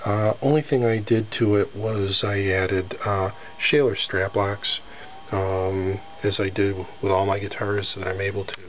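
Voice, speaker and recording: A man with a scratchy voice from allergies, casually showing off his guitar with lots of fillers and throat clearing. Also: fairly low pitch, fairly even intonation, a little slow. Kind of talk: casual show-and-tell. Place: near a sunny front porch. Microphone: handheld camera filming close-up. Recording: dull home-video sound with faint background noise.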